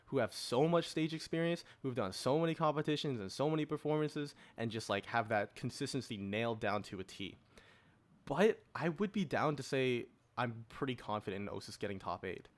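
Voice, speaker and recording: A man co-hosting a podcast, talking informally in a casual panel discussion and explaining his views. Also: mid-range voice. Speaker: podcast co-host.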